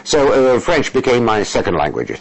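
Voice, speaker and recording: An older man whose first language is Russian, an interpreter answering interview questions in a halting, measured way with many fillers and restarts. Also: medium pitch, big pitch swings, breathy edge.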